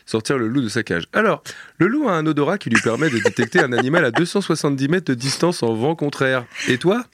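A man hosting a podcast, chatting casually, laughing often and quick with short agreements. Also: medium pitch, lively intonation, a bit bright. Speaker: podcast host. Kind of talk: casual interview chat.

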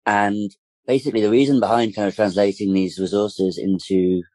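A man's voice: fairly low pitch, steady tone, normal speed, dark tone, no room sound. A man speaking as a guest campaigner in a casual interview, rambling with lots of "like" and "kind of". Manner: rambling, full of fillers and restarts.

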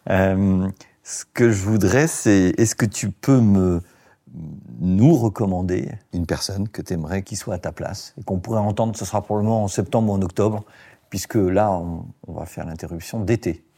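Low, husky-voiced male podcast host. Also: moderate pace, dark tone. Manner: informal, lots of short backchannel agreement. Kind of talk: conversational interview.

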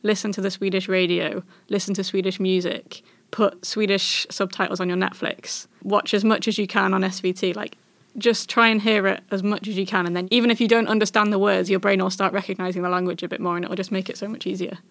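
A woman from England chatting on a podcast panel, giving brisk, friendly tips. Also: mid-range voice, little pitch movement, vocal fry, a bit bright, no room sound. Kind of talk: casual podcast chat.

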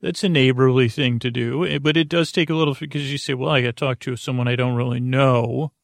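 Male sleep-podcast host, gravelly, meandering and halting, dry close sound. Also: fairly even intonation, no echo. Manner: meandering, halting, lots of fillers and restarts; low-key, drowsy delivery. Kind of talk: rambling sleep podcast intro.

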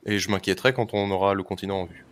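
A man playing in a tabletop roleplaying game, halting and full of fillers as he describes his actions step by step. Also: deep voice, fairly even intonation. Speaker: player. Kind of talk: casual tabletop roleplay chat.

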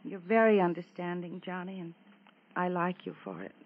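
A female actor playing a genteel, softly appealing newly widowed American wife in a mid-century radio melodrama, confiding and halting. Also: on the low side, natural voice. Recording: tinny, narrow-band old radio recording.

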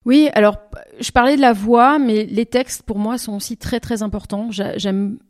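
A woman singer answering interview questions in a thoughtful way, pausing and rephrasing often as she searches for words. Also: a little high, steady tone, darkish tone. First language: French. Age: over twenty. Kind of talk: reflective interview talk.

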